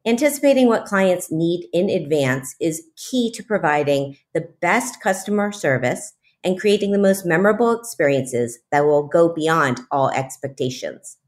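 A woman's voice: fairly low pitch, lively intonation, normal speed. A woman hosting an interview podcast, reading introductions and talking with her guest. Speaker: podcast host.

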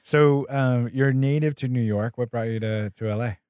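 Male interviewer, medium pitch, casual and hesitant, quick to affirm, dry studio-like sound. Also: darkish tone, clean tone, dry recording. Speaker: podcast host, interviewer.

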